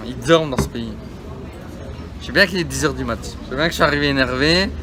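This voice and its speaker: A man's voice: mid-range voice, noisy background. A male vlogger hosting a joking mock interview, teasing and playful, in a busy public place. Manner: playful, teasing, quick to laugh.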